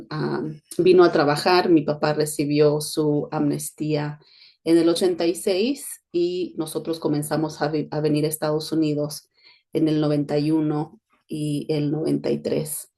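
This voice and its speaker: A middle-aged Mexican-born woman speaks in a neutral Spanish accent, her voice low and warm. She talks in a steady, flat-toned, reflective way, like a host sharing her story on a podcast. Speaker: podcast host, education consultant. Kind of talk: personal monologue podcast.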